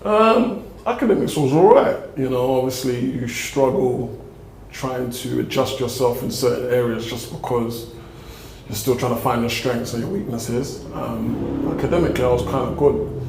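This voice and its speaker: A man's voice: mid-range voice, moderate pace, creaky, darkish tone. A young man from South London with a South London accent, a guest giving laid-back, rambling answers in a casual interview. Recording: echoey room with faint noise.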